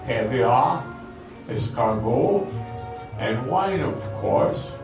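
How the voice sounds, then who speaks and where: Man playing a slow, courtly waiter in an echoey hall. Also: mid-range voice, expressive. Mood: gracious. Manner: formal, courtly. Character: courteous French restaurant waiter, gracious and attentive. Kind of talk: stage radio drama acting. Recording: echoey hall from far off, music.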